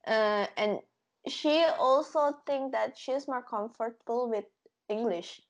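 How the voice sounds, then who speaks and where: A woman's voice: fairly high pitch, slow pace. A young woman from Indonesia speaking English with a Javanese accent in casual chat, hesitant and laughing often. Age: young adult.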